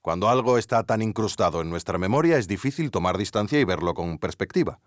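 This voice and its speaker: A man playing an earnest investigative journalist, narrating a scripted documentary mystery in a deliberate, theatrical way. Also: lowish voice, lively intonation, dry recording, clean studio sound, natural voice. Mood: wry, sheepish. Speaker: podcast narrator and investigative interviewer.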